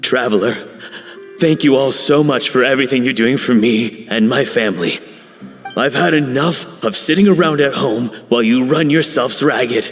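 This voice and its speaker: A man's voice: mid-range voice, close to an everyday voice. A man voicing a shaken, earnest middle-aged chef. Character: middle-aged anxious male chef, a father. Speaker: video game character. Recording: reverberant game audio with music.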